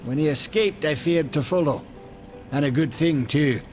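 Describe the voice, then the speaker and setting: An actor playing a boastful, wry male maker in a video game cutscene, talking in a chatty, animated way. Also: a little high, normal speed, soft background music, natural voice. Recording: game score behind.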